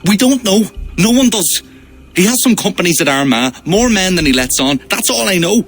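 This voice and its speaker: A man's voice: high-pitched, lively intonation, normal speed, faint music behind. An actor playing a nervous, cornered medieval Irish petty king in a video game. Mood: pleading. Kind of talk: cinematic video game acting.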